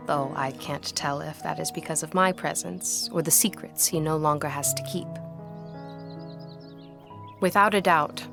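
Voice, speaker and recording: Gothic audio drama narrator: reflective young woman, low register, formal literary delivery, score behind.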